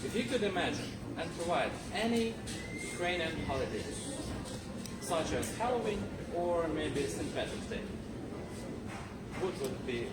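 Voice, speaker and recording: Guy hosting a contest, talks slow and halting, far from mic in echoey room. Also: a little high, big pitch swings, heavy background noise. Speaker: contest host asking questions. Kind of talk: hosting a live club speaking contest. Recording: echoey room from afar, loud music.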